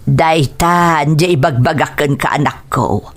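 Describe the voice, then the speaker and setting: A woman's voice: low voice, measured pace. A woman acting in an Ilocano radio drama as a reproachful but caring mother of a grown son, with an Ilocano accent and music underneath. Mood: scolding, concerned.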